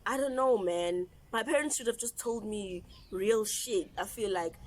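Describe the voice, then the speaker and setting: A young woman hosts a relaxed chat with friends, her pitch in an ordinary middle range. She speaks candidly and jokes a lot, drifting through "like" and "you know". Her manner is loose and conversational. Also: a little slow, poor audio. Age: young adult. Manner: candid, joking, trailing off with "like". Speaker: host. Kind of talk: casual chat among friends.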